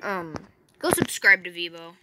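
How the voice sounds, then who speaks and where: A woman's voice: on the low side, sing-song, normal speed, background noise. A young woman hosting a casual gaming chat at home, rambling and joking, recorded on a phone. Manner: jokey, rambling, self-correcting with "just kidding". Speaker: channel host. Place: home bedroom.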